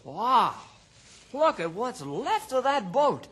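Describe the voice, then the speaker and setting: An actor playing an eager, excitable young boy sidekick in an old radio adventure drama. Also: mid-range voice, takes it slowly, husky, exaggerated voice. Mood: excited.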